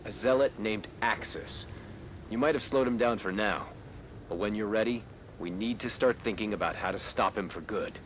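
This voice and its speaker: A man's voice: fairly low pitch, moderate pace, fairly bright tone, a little hiss or hum, natural voice. Man as a cool, wry game scout. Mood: wry, businesslike. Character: wry, casual male scout briefing a soldier on enemies. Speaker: mission briefer. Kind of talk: cinematic video game acting.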